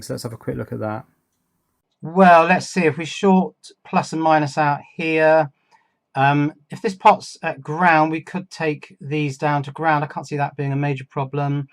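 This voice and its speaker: A man giving a casual hands-on electronics demonstration, thinking aloud in a chatty way and often putting little questions to himself. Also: medium pitch. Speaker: host of an electronics workshop video.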